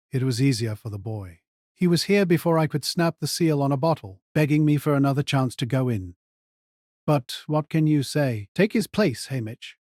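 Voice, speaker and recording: A man's voice: a little high, darkish tone, no echo, clean studio sound, close to an everyday voice. A man acting in an audio drama as a jaded, hard-drinking older mentor who is sardonic, needling and blunt.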